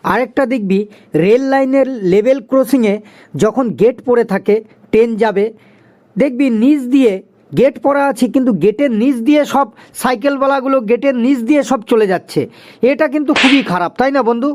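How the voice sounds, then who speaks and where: Adult performer as concerned, chatty cartoon schoolboy, strikingly high voice, agreeable and explanatory. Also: brisk, darkish tone, natural voice. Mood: concerned, agreeing. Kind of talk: cartoon dialogue.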